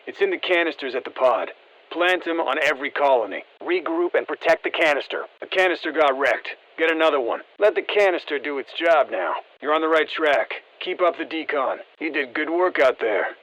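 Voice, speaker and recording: A man voicing a seasoned special-forces operator in a video game, briefing the squad in a terse, steady, encouraging way. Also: on the high side, a little fast, rough recording. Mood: focused, encouraging. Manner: terse, matter-of-fact military delivery. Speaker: military operator giving mission guidance. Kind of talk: scripted video game voice lines.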